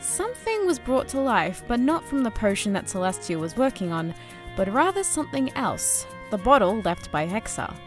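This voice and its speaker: A woman's voice: fairly high pitch, big pitch swings, normal speed, soft background music. A woman reading scripted storybook-style narration as a narrator.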